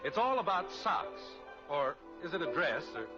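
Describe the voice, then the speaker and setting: A man's voice: a little high, very animated, moderate pace, music in the background. A man announcing in a scripted, playfully grand 1950s radio manner. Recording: muffled old radio recording.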